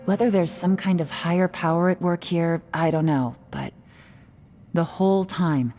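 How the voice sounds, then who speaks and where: An actress playing a cool, composed young woman investigator in a video game, speaking in a measured, deliberate way. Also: deep voice, mellow, slight background noise, lightly acted.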